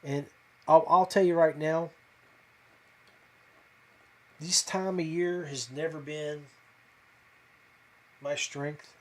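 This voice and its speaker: A man hosting a podcast, talking casually and off the cuff to viewers, with hesitant pauses and a modest, self-deprecating manner. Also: fairly high pitch, steady tone. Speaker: podcast host. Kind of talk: casual fishing talk to viewers.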